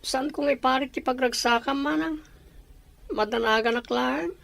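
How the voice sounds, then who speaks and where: A woman's voice: high-pitched, normal speed, vocal fry, a bit bright, no room sound. A woman acting a worried, respectful younger sibling in a radio drama, with an Ilocano accent. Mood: worried, hesitant.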